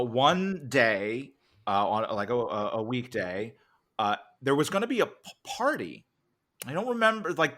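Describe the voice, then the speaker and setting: An American man talking casually in an interview, telling things in a halting way as he searches for words. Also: mid-range voice, a bit bright. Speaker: interviewer and interviewee, podcast cast member. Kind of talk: casual anecdotal interview chat.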